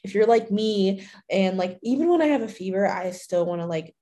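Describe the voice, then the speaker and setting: A young woman hosts her fitness podcast solo, her voice a little hoarse after a recent illness. She talks in a loose, chatty way and fills her sentences with "like" and "you know." Her tone is mellow and warm, and her pitch sits at a middle height. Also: animated, normal speed. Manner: chatty, many fillers, laughs often. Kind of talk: casual solo podcast talk.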